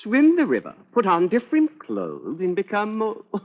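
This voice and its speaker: An actor playing a sardonic gentleman rogue in an old radio drama, drawling and facetious. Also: sits high, wildly swooping pitch, normal speed, exaggerated voice. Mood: flippant. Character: flippant, sardonic English gentleman on the run. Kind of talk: 1940s radio drama acting. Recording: dull, lo-fi old radio recording.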